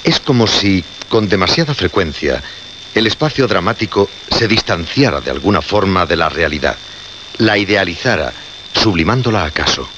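Solemn male horror-show host, deliberate and theatrical, on a muffled, noisy radio recording. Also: low voice, lively intonation. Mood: solemn, ominous. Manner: deliberate, dramatic pauses and repetitions. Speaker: radio actor. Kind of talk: radio horror drama acting.

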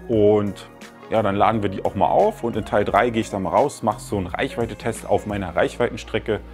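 A man's voice: fairly low pitch, a little fast, a little mellow, soft background music. A man in his thirties casually reviewing gadgets at home, talking in a chatty, explaining way with plenty of fillers. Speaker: tech reviewer. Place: living room at home, indoors.

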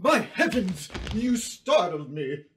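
A man's voice: high-pitched, sing-song, slow, fairly bright tone, a little hiss or hum, full character voice. A man voicing a kindly, flustered older physician in a cartoon, fussy, courtly and hesitant. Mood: startled, concerned. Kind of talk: animated drama dialogue. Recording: music under the dialogue.

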